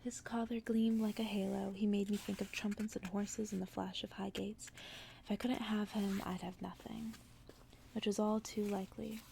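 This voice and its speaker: A woman reading a story aloud in a soft, breathy ASMR whisper, hushed and gentle. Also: medium pitch, level tone, moderate pace, a little hiss or hum.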